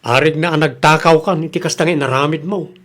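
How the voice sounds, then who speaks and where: A man's voice: a little high, steady tone, quick pace, a bit bright, close to an everyday voice. An actor playing a plain-spoken father and husband who questions and scolds, with an Ilocano accent in a radio comedy drama. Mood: scolding.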